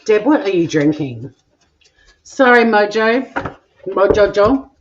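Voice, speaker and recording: A middle-aged Australian woman chats her way through a live cooking stream in her home kitchen. Her pitch sits in an ordinary middle range. The home recording sounds dull and lo-fi, and she talks in a playful, giggly way with the odd swear word. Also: animated, slow pace. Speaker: cooking vlog host. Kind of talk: casual chat, live cooking stream reading viewer comments.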